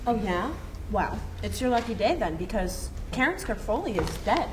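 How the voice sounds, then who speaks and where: A woman's voice: medium pitch, very animated, moderate pace, lightly acted. A young woman at a table read voicing a brash, tough teenage girl who speaks bluntly and sneers. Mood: menacing. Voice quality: blunt, sneering, laughs between lines. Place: meeting room at a table read. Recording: noisy distant room recording.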